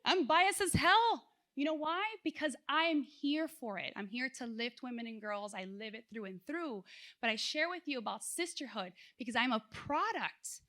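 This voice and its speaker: Woman conference speaker from South Florida, lively, engaging, rather high voice. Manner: animated, engages audience with questions. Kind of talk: motivational keynote talk.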